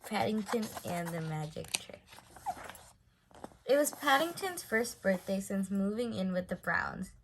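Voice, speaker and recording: A woman reading a children's story aloud in an expressive way, stressing words for effect. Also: mid-range voice, a little slow, noisy background. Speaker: storybook reader.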